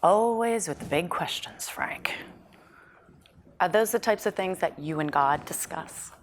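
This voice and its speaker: A conflicted, guilty woman, played by an actress reading a script in a stage drama. Her lowish voice moves widely in pitch, with hesitant, broken phrasing. There is clear noise in the recording. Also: expressive, unhurried. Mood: wry. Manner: hesitant, breaking phrases apart. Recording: clearly noisy room recording.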